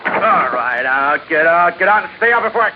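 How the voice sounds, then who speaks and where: A man acts a cocky radio-drama gangster, sneering and slangy, with a fairly high voice. The old recording is thin and tinny, faintly noisy. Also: lively intonation, normal speed, natural voice. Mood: threatening. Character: sneering, greedy hoodlum, bullying and cocky. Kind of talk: 1950s radio crime drama acting.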